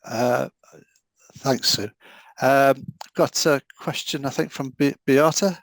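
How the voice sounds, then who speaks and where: A man's voice: mid-range voice, slow, no room sound. A man moderating a talk over a video call, casual and chuckling, with some stumbles.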